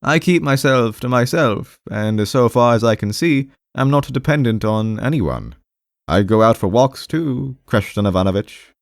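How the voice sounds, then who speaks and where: A man's voice: medium pitch, animated, normal speed, dry recording, studio quality, voice pushed up, close to an everyday voice. A man voicing a timid, defensive male clerk in an audiobook, hesitant, repetitive and half-aggrieved, with a strained, raised voice. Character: timid, defensive, rambling male clerk visiting his doctor. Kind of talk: audiobook character voice.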